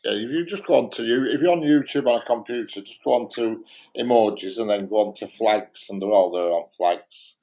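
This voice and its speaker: A man's voice: on the low side, fairly quick, dark tone. A man with a Lancashire accent chatting casually and bluntly about his opinions, emphatic and often repeating himself. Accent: Lancashire English. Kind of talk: casual opinionated live-stream chat.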